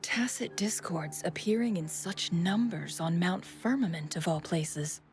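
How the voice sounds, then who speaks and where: Composed, low-voiced warrior woman. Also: darkish tone. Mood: serious, composed. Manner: measured, polite. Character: composed, courteous female warrior, calmly authoritative. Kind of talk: scripted game dialogue.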